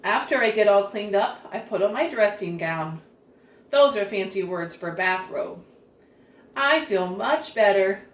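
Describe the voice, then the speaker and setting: Lady reading a kids book out loud, real slow and expressive, echoey room, mic kinda far away. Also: mid-range voice, a little hiss or hum. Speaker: children's story reader. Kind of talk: expressive storybook read-aloud. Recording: roomy echo, distant microphone.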